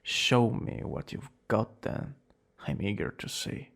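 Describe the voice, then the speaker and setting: A man's voice: lowish voice, lively intonation, fairly bright tone, full character voice. A man playing a smug, dramatic young villain student who is secretly smitten, in an ASMR romance roleplay. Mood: gloating, confident. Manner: dramatic, drawn-out boasting that slips into breathy whispers and flustered exclamations. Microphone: close studio-style microphone.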